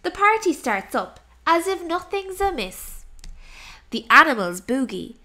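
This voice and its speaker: A woman's voice: extremely high pitch, very animated, a little slow, sharp tone. A woman reading a rhyming children's story aloud as narrator, expressively and with dramatic emphasis.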